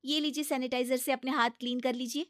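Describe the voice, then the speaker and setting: A woman's voice: high-pitched, steady tone, fast talker, fairly bright tone, no room sound, clean studio sound, pitched above the performer's own voice. A woman playing an exasperated, cheeky young daughter-in-law in an acted comic family story, insistent and pleading. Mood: nagging.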